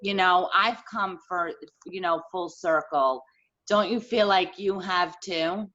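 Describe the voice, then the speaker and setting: A woman asking an interview question, admiring and halting, often restarting her sentences. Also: lowish voice, pushed and bright, highs cut off. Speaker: guest interviewer. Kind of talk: casual interview chat.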